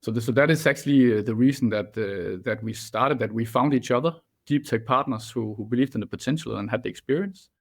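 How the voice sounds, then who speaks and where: A middle-aged man with a Danish accent, a guest answering interview questions earnestly, often pausing and restarting. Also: mid-range voice. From Denmark. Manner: halting, many fillers and restarts, earnest. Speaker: guest, venture fund partner.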